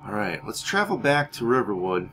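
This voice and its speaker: A man's voice: on the low side, big pitch swings, normal speed, a bit bright, slight background noise. A man giving gameplay commentary as roleplay, musing aloud in character. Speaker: gamer playing in character.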